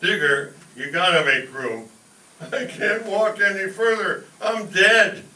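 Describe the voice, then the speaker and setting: A man playing a blustering, excitable working-class husband and father in an old-time radio comedy, loud and exclamatory. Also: high voice, slow, exaggerated voice. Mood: weary, sheepish. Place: live stage show in a roomy hall. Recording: echoey room, distant mic, noisy.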